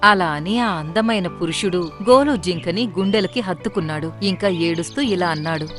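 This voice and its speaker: Low-voiced woman storyteller over music. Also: brisk, a bit bright. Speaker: narrator. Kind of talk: scripted children's story narration.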